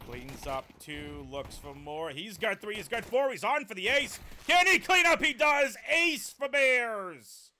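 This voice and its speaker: A man casting an esports match solo, with a deep voice, calling the plays and chatting with viewers, and excitable enough to build to shouts on big plays. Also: a little slow, hardly any pauses. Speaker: esports caster. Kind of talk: solo play-by-play esports commentary.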